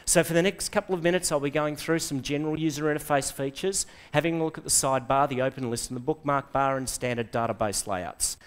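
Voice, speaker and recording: A middle-aged man with an Australian accent giving an enthusiastic software presentation to a live audience, quizzing the room and dropping quick asides. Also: on the high side, clean tone. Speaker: conference presenter. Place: lecture hall with seated audience.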